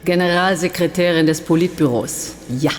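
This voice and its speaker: An actress playing a coolly sardonic urban guerrilla leader in a radio drama, self-assured, taunting and given to declaiming. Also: female voice, fairly low pitch, moderate pace, darkish tone, a little hiss or hum, close to an everyday voice. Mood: proud, determined. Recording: long reverb of a large hall.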